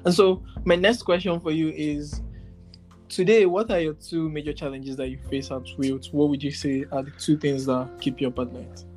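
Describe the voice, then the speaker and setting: A man's voice: on the high side, fairly even intonation, normal speed, a little mellow, faint music behind. A man interviewing a guest in a conversational way, phrasing questions tentatively with plenty of you-knows.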